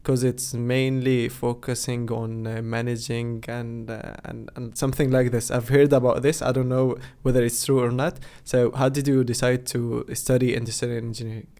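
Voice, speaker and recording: A male podcast host with a warm, mellow voice that stays level and even. He talks in a relaxed, chatty way, dropping in "like" as he goes. His pitch sits in the middle. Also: moderate pace, few pauses. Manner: relaxed, chatty, frequent "like" fillers. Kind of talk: casual podcast interview.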